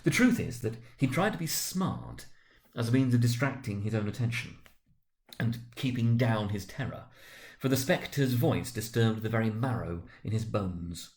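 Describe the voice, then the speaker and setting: A man narrating a literary story as a dramatic reading, with theatrical, expressive delivery. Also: lowish voice, normal speed.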